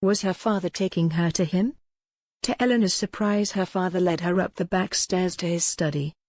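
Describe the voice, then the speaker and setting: A woman reading a historical romance audiobook as scripted, expressive dramatic narration. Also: lowish voice, normal speed, no room sound.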